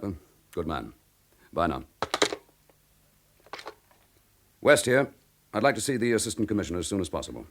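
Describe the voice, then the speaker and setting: An actor playing a determined police chief inspector in a radio crime drama, forceful, clipped and impatient. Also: male voice, lowish voice. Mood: brisk, interested.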